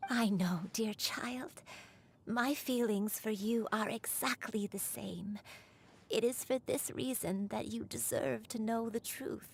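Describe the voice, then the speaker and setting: A woman voicing a gentle, guilt-burdened old grandmother in a video game, speaking tenderly and formally like a storyteller. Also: on the high side, slow pace, creaky, slight background noise. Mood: solemn, resolved. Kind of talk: dramatic video game voice acting.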